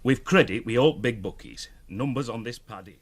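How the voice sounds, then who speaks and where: A man's voice: mid-range voice, normal speed, a little hiss or hum, close to an everyday voice. A man with a Northern English accent acting an eager, cajoling working-class betting organiser in a radio drama.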